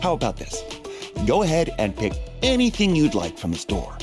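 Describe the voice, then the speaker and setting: A man's voice: a little high, huge pitch swings, normal speed. A man playing a friendly, generous, easygoing shopkeeper in a video game. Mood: genial, generous. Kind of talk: English video game voice acting. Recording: game music behind the voice.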